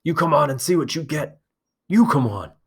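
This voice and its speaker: Storybook role: a hostile boy chief who taunts and shouts, voiced by a man. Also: on the high side, animated, normal speed, breathy edge, voice pushed up. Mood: aggressive shouting. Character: defiant, aggressive boy tribe leader.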